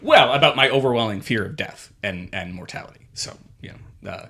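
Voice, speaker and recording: A man in his thirties co-hosting a casual comedy podcast, talking in a rambling, halting way full of fillers and restarts. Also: fairly low pitch, expressive, creaky, bright, forceful tone, breathy edge. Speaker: podcast co-host.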